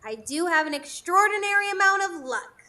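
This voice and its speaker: Woman doing a cheeky muddled fairy beggar, super high and bright, theatrical radio play. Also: expressive, moderate pace, heavily acted. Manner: playful, scatterbrained, theatrical. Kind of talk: live radio drama acting.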